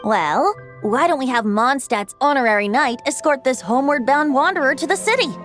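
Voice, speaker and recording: A woman's voice: sits high, expressive, a little fast, fairly bright tone, faint music behind. A woman voicing a playful, boyish male bard in an anime video game, with a theatrical, eloquent and teasing manner. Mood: breezy, musing.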